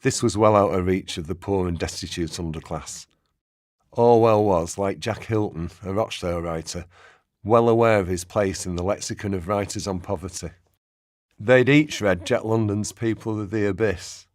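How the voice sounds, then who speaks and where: A man acting as a sober narrator, giving measured, expository documentary-style narration in a radio play. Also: deep voice, no room sound, clean studio sound, close to an everyday voice. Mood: sober, explanatory. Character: sober male historical narrator.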